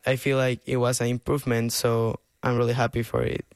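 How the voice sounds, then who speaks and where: A man's voice: medium pitch, flat delivery, fairly quick, smooth, no echo. An eighteen-year-old man from Peru with a Peruvian Spanish accent, giving earnest, casual interview answers in simple second-language English. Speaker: interview guest, clarinet student.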